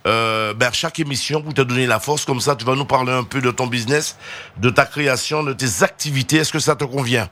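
A man hosting an informal interview show, talking in a wordy, halting way and often repeating his phrases. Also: medium pitch, pushed and bright. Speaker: radio show host.

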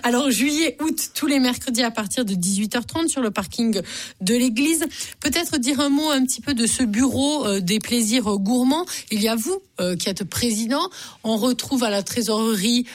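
A woman hosting a local radio magazine interview, chatty and quick to laugh, with lots of fillers. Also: a little high.

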